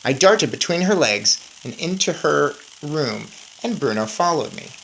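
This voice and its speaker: Low-voiced, animated woman reading a story. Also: a little slow, a little hiss or hum, rough recording. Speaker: story reader. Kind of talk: expressive children's audiobook-style read-aloud.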